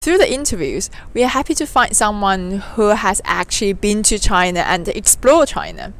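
A woman's voice: mid-range voice, expressive, moderate pace, a bit bright. A young woman presenting a street interview show outdoors in a scripted style. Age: young adult. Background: music.

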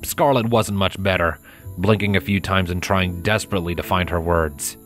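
A man's voice: deep voice, normal speed, faint music behind. A man narrating a scripted story in a dramatic audiobook style.

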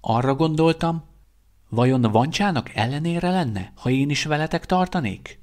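A man's voice: fairly high pitch, expressive, darkish tone, pitched above the performer's own voice, natural voice. A man doing an eager young vampire guard. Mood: earnest, eager.

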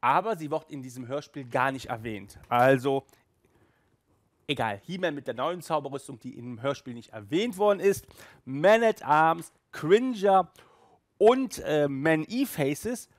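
A man's voice: a little high, lively intonation, measured pace, no room sound, clean studio sound. A man in his thirties hosting a casual video review, talking in a chatty, colloquial way. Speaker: host of an audio drama review show. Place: small room with sofa and shelves, indoors.

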